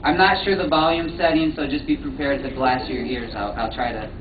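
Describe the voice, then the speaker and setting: Young male lecturer, halting and chatty with the crowd, miked from far off in a big reverberant hall. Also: medium pitch, steady tone, a little hiss or hum. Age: 20s. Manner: hesitant, many ums and restarts, quizzes the audience. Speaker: guest speaker, professor. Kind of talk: interactive lecture to students. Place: large auditorium. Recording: echoey hall, distant mic, dull sound.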